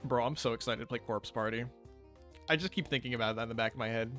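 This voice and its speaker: A man's voice: mid-range voice, fast, a bit bright, faint music behind. A man co-hosting a casual let's play, rambling and swearing as he plays. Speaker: co-host, gamer.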